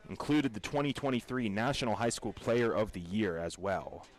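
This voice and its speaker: A man with an American accent calling baseball play-by-play for radio in a measured, descriptive storytelling way. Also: fairly low pitch, expressive. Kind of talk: radio sports commentary.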